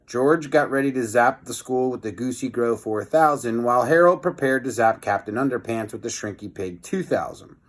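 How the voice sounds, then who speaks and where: Male storybook reader, mid-pitched, animated delivery with casual, chatty commentary. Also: normal speed, few pauses. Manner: expressive, chatty commentary between passages. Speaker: teacher reading a children's book aloud. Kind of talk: animated storybook read-aloud with casual asides.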